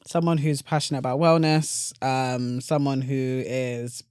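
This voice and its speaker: A young woman with a British accent and a low-pitched voice chats as a guest on a podcast. She talks informally and with animation, as if telling stories to a friend. The sound is dry, with hardly any room in it. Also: little pitch movement, measured pace, a little mellow, smooth, dry recording. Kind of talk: casual chat.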